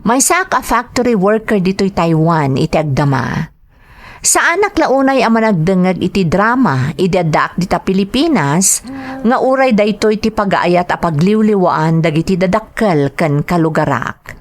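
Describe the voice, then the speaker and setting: A woman's voice: medium pitch, expressive, normal speed, natural voice. A woman with an Ilocano accent voicing a male factory worker who earnestly narrates his letter in a radio drama. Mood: earnest, reflective.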